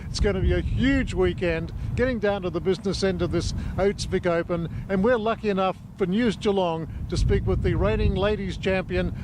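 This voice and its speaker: An Australian man working as a sports reporter, chatting through an interview on location outdoors. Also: high-pitched. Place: outdoors at a golf course. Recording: noisy windy outdoor location.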